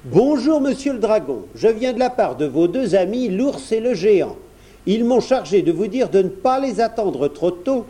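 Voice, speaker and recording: A man's voice: high-pitched, normal speed, breathy edge, voice pushed up, full character voice. Man putting on a courteous, brave young prince. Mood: courteous, sly. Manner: courteous, calm. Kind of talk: children's storybook character.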